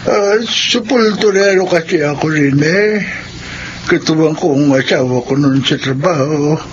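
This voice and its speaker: An actor playing a kindly old widowed gravedigger in a Filipino radio drama, gentle, halting and chatty. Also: male voice, on the high side, natural voice. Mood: gentle, reminiscing. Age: older. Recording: dull radio recording, faint background noise.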